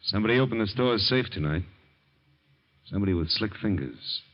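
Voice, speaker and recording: An actor playing a weary detective lieutenant who questions suspiciously in a 1940s radio drama. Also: male voice, on the low side, animated, brisk. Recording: dull old radio broadcast.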